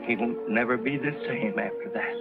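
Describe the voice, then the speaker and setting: Guy acting as old american western grandpa, folksy, down on himself, loud music under him. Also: medium pitch, normal speed, narrow, tinny audio, natural voice. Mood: dejected, ashamed. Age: older. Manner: folksy, rustic speech. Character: ashamed, self-doubting old Western grandfather, folksy and insistent.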